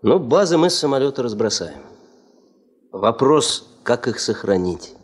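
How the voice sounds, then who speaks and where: An actor playing an eager, businesslike male expedition leader in a 1970s radio drama, brisk and hearty. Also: medium pitch, very animated, breathy edge.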